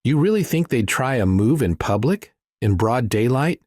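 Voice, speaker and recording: A male narrator puts on a smooth-talking rock guitarist with easy charm. His voice sits higher than the reader's own, with a casual, coaxing bro manner fit for a thriller audiobook. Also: very animated, moderate pace, a little mellow, no room sound, studio quality. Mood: wary. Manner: friendly, laid-back charm.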